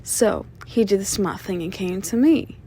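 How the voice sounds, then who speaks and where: A woman playing a flirtatious, menacing female mob boss in an ASMR roleplay, sly and self-assured, with a soft, teasing, sultry delivery. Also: medium pitch, expressive, slight background noise, close to an everyday voice. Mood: businesslike, confiding. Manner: teasing, sultry, drawn-out delivery with veiled threats. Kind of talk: scripted ASMR roleplay monologue addressed to listener.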